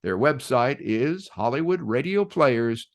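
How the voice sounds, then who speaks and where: A man hosting, reading a scripted introduction to a radio play in a measured, emphatic announcer-like way. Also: medium pitch, lively intonation.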